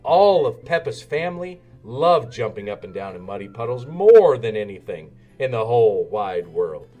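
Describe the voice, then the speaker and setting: A man reading a children's story aloud in an animated narrating style. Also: mid-range voice, moderate pace, lo-fi sound. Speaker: storybook reader. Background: music.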